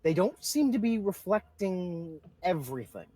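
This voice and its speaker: A man's voice: sits high, big pitch swings, measured pace, mellow, breathy edge, no echo. A man narrating a tabletop roleplaying game as game master, informally describing scenes and questioning the players.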